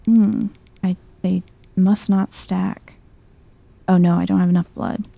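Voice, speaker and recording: A soft-spoken woman doing chill, playful ASMR game commentary, often close to a whisper. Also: fairly low pitch, little pitch movement, takes it slowly, creaky, dry recording. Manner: chill, playful muttering to herself. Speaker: gaming video host.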